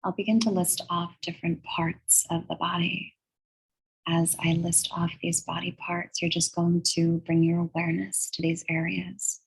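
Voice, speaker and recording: A woman leading a guided meditation in a soothing, measured, breathy way, leaving long pauses between phrases. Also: fairly low pitch, low-quality recording. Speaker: guided meditation and yoga teacher.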